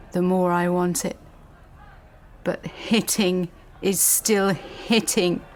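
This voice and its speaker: A woman's voice: deep voice, gravelly, slight background noise, full character voice. An actress playing a bitter, intense young woman, a scorned lover pressing her point insistently in a naturalistic radio drama.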